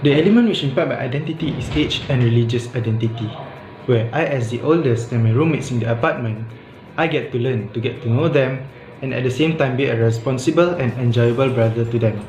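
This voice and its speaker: A man's voice: medium pitch, a little fast, darkish tone. Young man reading earnestly, echoey room. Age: young adult. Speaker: student. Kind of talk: scripted reflection read aloud. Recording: roomy echo with background music.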